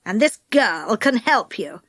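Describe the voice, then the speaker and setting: A strict old schoolmistress, as a woman reader puts her on, cold and clipped, in a lower, measured voice than the storyteller's own. The speech comes slow and sharp, like a harsh storybook teacher laying down the rules. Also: big pitch swings, no room sound, studio quality, heavily acted. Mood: stern.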